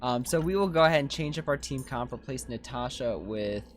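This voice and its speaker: A game streamer doing casual commentary, thinking out loud and chatting in slang while reading viewer comments. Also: mid-range voice, expressive, fairly quick, soft background music.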